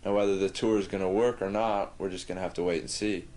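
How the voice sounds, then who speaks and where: A man's voice: deep voice, fairly even intonation, fast, loud music behind the voice. A young man in a casual documentary interview, talking earnestly and a bit ramblingly about the tour he is organizing. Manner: earnest, rambling, thinks aloud. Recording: buzzing noisy 1980s film sound.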